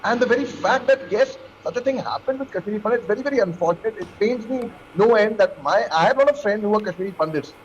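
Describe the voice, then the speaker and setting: A man with an Indian accent giving his opinion as a guest on a news debate, speaking emphatically and haltingly with repeated phrases. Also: sits high, animated. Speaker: panel guest commentator. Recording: dull lo-fi call audio, clear noise.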